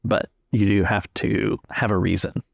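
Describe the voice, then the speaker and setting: A man's voice: fairly low pitch, steady tone, normal speed, gravelly, no echo, studio quality. A man improvising narration as the game master of a tabletop roleplaying game.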